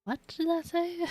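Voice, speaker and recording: A woman's voice: fairly high pitch, a bit bright. A woman streaming a video game with casual, giggly, self-deprecating commentary. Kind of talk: casual live gameplay commentary.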